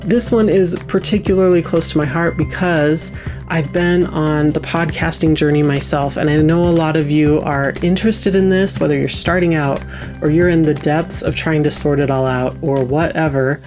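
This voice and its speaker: A woman with a distinctly low-pitched voice presents an interview podcast. She speaks with enthusiasm as host, with soft music underneath. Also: fairly even intonation, moderate pace.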